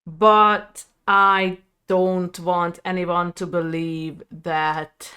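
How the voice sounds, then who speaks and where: Video creator, female, thirties, Hungarian accent. Unhurried, smooth delivery for reflective personal storytelling. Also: lowish voice, level tone, sharp tone. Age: 30s.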